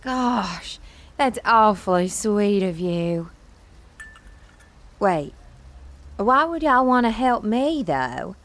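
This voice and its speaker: A woman's voice: medium pitch, very animated, drawn-out words, long pauses, fairly bright tone, heavily acted. A woman playing an innocent, insecure young pageant contestant with a Southern US accent, sweet and earnest, in an audio comedy. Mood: earnest, naive. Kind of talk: audio comedy drama acting.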